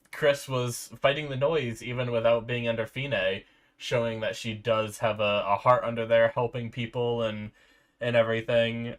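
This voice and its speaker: A man's voice: fairly low pitch, a bit bright. Congested sick man hosting a reaction video. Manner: rambling, frequent filler restarts. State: sore throat. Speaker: anime reaction host. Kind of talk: casual reaction commentary. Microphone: desk mic with noise filter.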